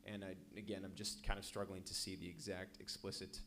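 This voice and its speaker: A man with a very deep voice speaks during public comment at a council meeting. He talks fast and loud, asking pointed but self-deprecating questions. Faint room noise sits behind him. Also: little pitch movement. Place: council chamber.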